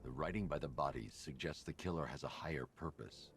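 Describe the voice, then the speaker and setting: An extremely deep-voiced grim vigilante detective from a video game. He is terse and stoic, giving orders in a deadpan way, with music clearly under him. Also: brisk. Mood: clinical, brooding. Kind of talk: video game cinematic acting.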